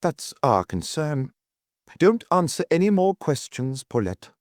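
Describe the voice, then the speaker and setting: A man's voice: fairly high pitch, sing-song, unhurried, a little mellow, no room sound, studio quality, voice pushed up. A man voicing a shy, stiff, indignant husband in an audiobook, hesitant and strained as he forces himself to object. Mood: indignant, agitated.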